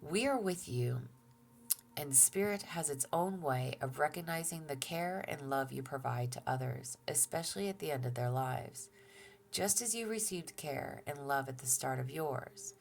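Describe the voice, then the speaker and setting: A woman giving a gentle tarot reading, soft-spoken and reassuring, who often drops to a near-whisper and talks through a stuffy nose. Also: sits low, animated, moderate pace, gravelly. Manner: gentle and reassuring, with fillers and restarts. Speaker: tarot reader. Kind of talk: relaxed tarot reading to viewers.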